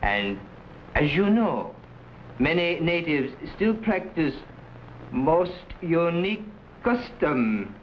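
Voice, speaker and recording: Slow-talking, sly conspirator. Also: male voice, fairly high pitch, sing-song. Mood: sly, sinister. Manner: halting, stilted broken English. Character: cautious, sly Chinese conspirator, quietly sinister, ceremonious. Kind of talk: vintage serial melodrama. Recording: dull, faintly noisy old broadcast.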